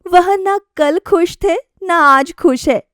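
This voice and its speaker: Woman playing an earnest, high-voiced young daughter-in-law. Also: slow pace, a bit bright, dry recording, studio quality, exaggerated voice. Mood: hurt, plaintive. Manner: earnest, plaintive. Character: eager-to-please, wistful young daughter-in-law, often hurt.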